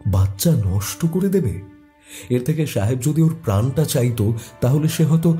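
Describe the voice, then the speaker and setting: A man narrating a scripted horror story in a dramatic, measured way with weighty pauses. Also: medium pitch, animated, dark tone, faint music behind.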